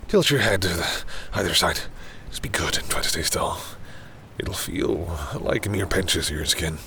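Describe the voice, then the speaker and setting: A deep male vampire , acted by a man, speaks intimately to his prey in an audio drama. He is condescending and sure of himself, slipping between cool commands and breathy musing. A low rumbling noise sits behind the voice. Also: moderate pace, sharp tone, full character voice. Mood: hungry, taunting. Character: confident male vampire predator, smug and coolly menacing. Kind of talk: intimate audio roleplay, acted monologue to the listener.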